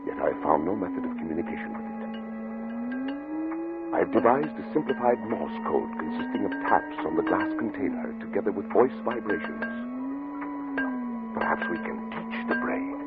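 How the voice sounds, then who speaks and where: Obsessed, deep-voiced scientist. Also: male voice, huge pitch swings, moderate pace. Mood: obsessed, brooding. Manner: commanding, clipped, insistent. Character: obsessed, ruthless male scientist, cold and domineering. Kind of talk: 1940s radio acting. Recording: muffled vintage radio recording with music.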